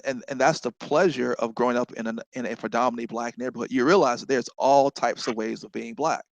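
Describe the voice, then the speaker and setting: This is a middle-aged man with a Southern accent, talking as an interview guest. His voice sits at an ordinary pitch, and the recording is dry and close. He tells his story earnestly, restarts phrases and often checks in with "right?". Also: no room sound, poor audio. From North Carolina. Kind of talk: casual conversational interview.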